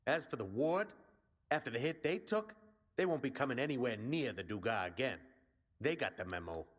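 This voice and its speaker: Actor as a cocky, animated male resistance commander. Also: medium pitch, normal speed, natural voice. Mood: confident briefing. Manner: cocky, rallying, plain-spoken soldier talk. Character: brash male resistance commander briefing a raid. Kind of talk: video game voice acting.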